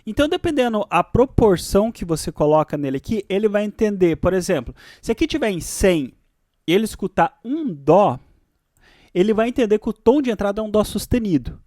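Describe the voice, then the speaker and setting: High-pitched, lively Brazilian man teaching. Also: brisk, no echo, clean studio sound. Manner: explanatory, often checking understanding with tag questions. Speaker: music software instructor. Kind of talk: informal screen tutorial.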